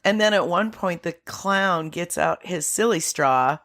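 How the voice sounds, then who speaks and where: A woman co-hosting a podcast in casual movie banter, giggly and animated, slipping into mock voices. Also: fairly low pitch, unhurried. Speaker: podcast co-host.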